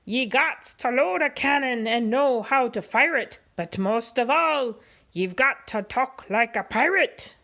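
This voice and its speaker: A woman's voice: on the high side, expressive, unhurried, voice pushed up. Woman doing a blustering pirate captain. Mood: chanting. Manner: loud pirate dialect, rhyming chants. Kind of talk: storybook read-aloud.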